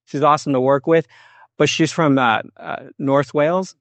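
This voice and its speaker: A man's voice: a little high, steady tone, moderate pace, no echo. Man, American accent, podcast host, chatty, laughs a lot, dry sound. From United States. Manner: friendly, chatty, laughs often. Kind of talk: casual interview.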